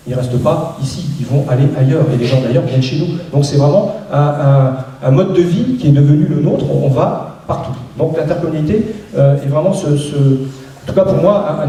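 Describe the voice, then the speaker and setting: A man with a dark, mellow voice, pitched a little high for a man, gives a local campaign speech. He halts and restarts his phrases often. He is heard from a distance in a very echoey room. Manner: hesitant, many restarts and fillers. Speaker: local election candidate.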